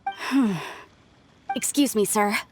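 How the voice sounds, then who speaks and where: Polite young researcher. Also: female voice, fairly high pitch, takes it slowly, slight background noise. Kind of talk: video game cutscene dialogue.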